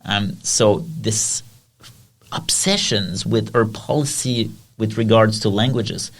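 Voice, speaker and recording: A man's voice: fairly low pitch, takes it slowly. A man with a French accent hosting a podcast discussion, earnestly asking his guests questions. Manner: earnest, asks guests by name. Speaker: podcast host. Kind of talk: casual discussion.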